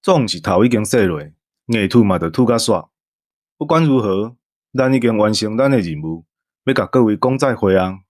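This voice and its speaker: Low-pitched Taiwanese man co-hosting. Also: animated, normal speed, a little mellow, no echo, studio quality. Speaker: co-host. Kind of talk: bantering show talk.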